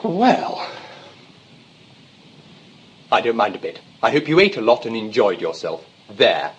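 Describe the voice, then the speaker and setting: Theatrical, lovesick young English gentleman. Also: lowish voice, huge pitch swings, a little slow, slightly rough, full character voice. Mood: sulky. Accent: English, upper-class. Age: young adult. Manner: theatrical, gushing, petulant. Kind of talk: stage-style radio comedy acting.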